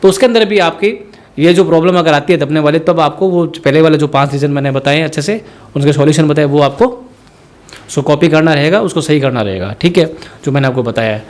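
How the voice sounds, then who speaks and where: A man's voice: a little high, fairly quick, a little mellow. A man from India speaking, explaining sound equipment in a rambling tutorial that addresses viewers directly as friends. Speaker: musician teaching sound setup. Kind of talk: spoken tutorial.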